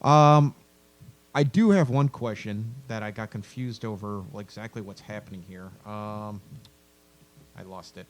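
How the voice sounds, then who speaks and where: A man's voice: lowish voice, measured pace, slight background noise, rough recording. A man giving casual, blunt feedback on a story as a panelist, informal and given to swearing. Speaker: podcast panelist, critic. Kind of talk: casual critique discussion.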